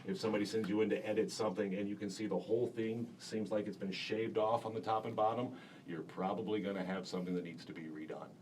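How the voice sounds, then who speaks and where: A deep-voiced man teaching a class in a school radio studio, explaining casually with plenty of fillers. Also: steady tone, quick pace, darkish tone. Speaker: broadcasting instructor. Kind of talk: classroom demonstration. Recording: distant mic with faint room hiss.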